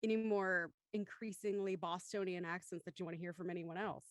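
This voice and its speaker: A woman's voice: lowish voice, level tone, a little fast, no room sound, clean studio sound. A woman hosting a live online show in a chatty, encouraging way. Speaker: host and narrator.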